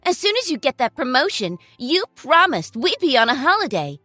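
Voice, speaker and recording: A woman's voice: high-pitched, sing-song, normal speed, bright, forceful tone, no echo, faint music behind, voice pushed up, exaggerated voice. A woman voicing a haughty, cruel young woman who shrieks insults. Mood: scornful.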